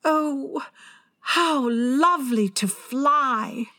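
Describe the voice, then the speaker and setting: An eager, proper little girl from a storybook, acted by a woman, with a high, swooping voice. She speaks earnestly and unhurriedly, easily carried away with delight. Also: big pitch swings, slow pace, a bit bright, dry recording, studio quality, heavily acted. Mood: rapturous, torn. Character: eager, prim, easily thrilled young girl. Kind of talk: storybook character voice.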